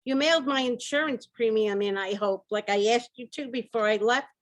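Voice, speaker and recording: A woman's voice: a little high, moderate pace, close to an everyday voice. A woman playing a distraught, incredulous rich husband who owns a mansion, in an old-time radio comedy style. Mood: alarmed, anxious. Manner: dramatic, incredulous, repetitive laments. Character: distraught rich mansion owner, husband, reeling from piling losses.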